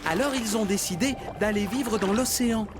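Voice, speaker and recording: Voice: medium pitch, normal speed, music in the background, close to an everyday voice. An actor playing a friendly, helpful elephant seal who explains things patiently in a cartoon dub. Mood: helpful storytelling. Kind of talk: cartoon dubbing.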